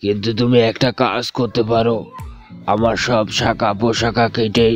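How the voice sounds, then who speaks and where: A man voicing a gentle, giving old mango tree in a children's storybook tale, speaking in a fatherly, apologetic way. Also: mid-range voice, little pitch movement, moderate pace, faint music behind, lightly acted.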